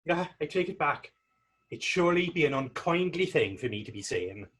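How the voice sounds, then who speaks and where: A guileless, earnest young fellow played by a male actor on the readers theater stage. His husky, slightly high voice leaps up and down as he rambles in old-fashioned dialect. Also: very animated, moderate pace, fairly bright tone, heavily acted. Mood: flustered, apologetic. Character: naive, earnest, smitten young Irish fellow.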